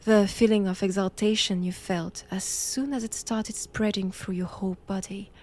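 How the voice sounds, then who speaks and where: A woman with a French accent playing a knowing, menacing nun captor in an intimate roleplay audio drama, with measured, teasing, formal old-fashioned phrasing. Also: medium pitch, fairly even intonation, a little mellow, lightly acted. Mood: probing, intimate.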